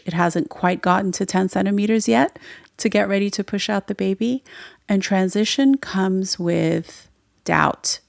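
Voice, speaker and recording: A woman's voice: mid-range voice, vocal fry, dry recording, clean studio sound. A woman whose first language is Farsi, hosting her own podcast and telling her story in an earnest, reflective way. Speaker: podcast host and midwife. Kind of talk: solo podcast monologue.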